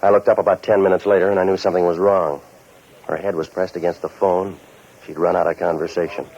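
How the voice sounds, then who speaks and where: A hard-boiled American private eye in a vintage radio drama. The voice sits low and delivers sardonic wisecracks in a terse deadpan. The old recording is muffled and dull. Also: male voice, quick pace. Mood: wry narration. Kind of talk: 1940s radio noir acting and narration.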